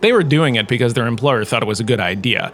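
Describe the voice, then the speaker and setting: A man's voice: medium pitch, expressive, fast talker, fairly bright tone, soft background music. Guy playing a smug has-been space cantina lecturer, wry n chatty, voice jumps around a lot, hammy emphasis. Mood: storytelling. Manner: wry, conversational, theatrical emphasis. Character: self-important washed-up lecturer holding forth in a space cantina. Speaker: host, lecturer. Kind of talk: scripted comedic lore lecture, narration.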